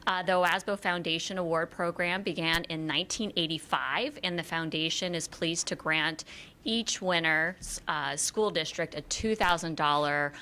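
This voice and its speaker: A middle-aged woman, a school finance association director, giving a measured award presentation at a board meeting with many "uh" pauses. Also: sits low, pushed and bright, clear, resonant tone. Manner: measured, with frequent "uh" fillers. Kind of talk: formal award presentation speech. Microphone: without a working microphone, projecting.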